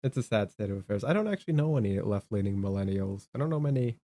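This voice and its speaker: A man's voice: lowish voice, expressive, fast, dark tone. A man hosting a casual political chat show, talking in a blunt, dismissive way. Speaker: podcast host.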